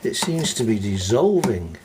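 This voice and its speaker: A man's voice: lowish voice, sing-song, unhurried, background noise. A man casually narrating as he cleans parts of an old tape deck, muttering an easygoing running commentary. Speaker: hobbyist repairing a reel-to-reel deck.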